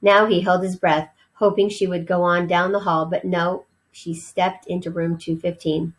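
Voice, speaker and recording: A woman's voice: lowish voice, flat delivery, moderate pace, rough recording. A woman reading a children's storybook aloud as narrator, explaining things as if to young listeners.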